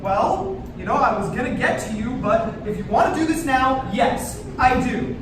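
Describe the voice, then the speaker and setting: Actor as an exasperated birthday guy, high-pitched, far off. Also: lively intonation, moderate pace. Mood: indignant. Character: exasperated 25-year-old birthday man, wounded and sarcastic. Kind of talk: staged reading of a comedy play. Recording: echoey distant room recording, hissy noise.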